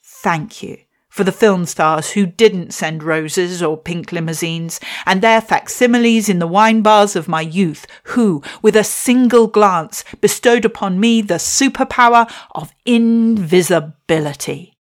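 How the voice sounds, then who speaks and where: A woman's voice: medium pitch, unhurried. A woman with a mid-range voice tells a personal story and reads a poem. She speaks unhurriedly and with wry, self-mocking humour. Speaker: podcast host and poet. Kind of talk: reflective storytelling and poetry reading.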